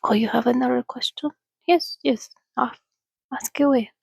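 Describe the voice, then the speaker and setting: A woman speaking in a hushed, soothing, often whispered ASMR style, as if calming a companion. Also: on the high side, moderate pace, creaky, slightly rough, no room sound, studio quality. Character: calm, sociable female vampire soothing a companion. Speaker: ASMR host. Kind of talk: soft-spoken ASMR reading and roleplay.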